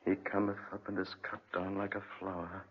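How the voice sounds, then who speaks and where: A man's voice: low-pitched, flat delivery, fairly quick, slight background noise, natural voice. An actor playing a vengeful, grief-maddened man in 1940s radio drama style, grave and theatrical. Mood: mournful. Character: vengeful, grief-maddened man, escaped debtor turned obsessive avenger. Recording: muffled, dull 1940s radio recording.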